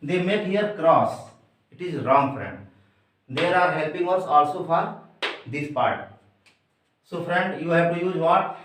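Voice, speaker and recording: A man with an Indian accent, a native Hindi speaker, teaching grammar in a deliberate, emphatic way and addressing his listeners directly. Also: a little high, fairly bright tone. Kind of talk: instructional grammar lesson to camera. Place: echoey room indoors. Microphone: distant microphone. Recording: very echoey room, distant mic.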